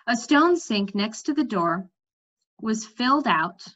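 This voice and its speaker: Young woman reading aloud, lively pitch swings. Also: slow. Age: young adult. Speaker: reader. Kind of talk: storybook read-aloud narration with lively dialogue. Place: bright room at home. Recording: slightly dull webcam audio.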